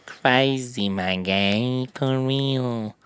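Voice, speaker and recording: A man's voice: mid-range voice, drawn-out words, fairly bright tone, clear, resonant tone, dry recording. A man hosting a podcast, joking through casual, profane banter. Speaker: podcast host. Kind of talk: casual chat. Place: podcast studio.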